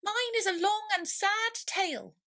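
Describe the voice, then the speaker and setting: A woman voicing a touchy, indignant mouse from a storybook, with a severe, sharp, huffy way of talking. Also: very high voice, expressive, unhurried, pitched above the performer's own voice, exaggerated voice. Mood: mournful.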